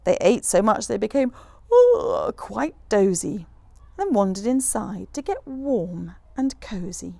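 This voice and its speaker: Woman author reading a kids rhyming story, really lively up and down pitch, bit of creak, dry close sound. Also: slow pace, dry recording. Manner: animated, rhythmic rhyming delivery. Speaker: author reading own picture book. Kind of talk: expressive children's storybook read-aloud.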